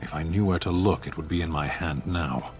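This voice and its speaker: Very deep, stoic warrior. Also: male voice. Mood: grave, bitter. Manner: terse, solemn, measured. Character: stoic, grim giant warrior, disgraced soldier far from home. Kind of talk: video game character acting. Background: music.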